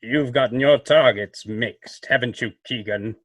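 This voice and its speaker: A man's voice: medium pitch, a little slow, breathy edge, no room sound. A mysterious crime-fighter played by a man from an old-time radio play. He has a mid-range voice in a dry, close recording, speaking formally, with commanding, sardonic menace. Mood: mocking. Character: mysterious male vigilante, coolly accusing, commanding and mocking.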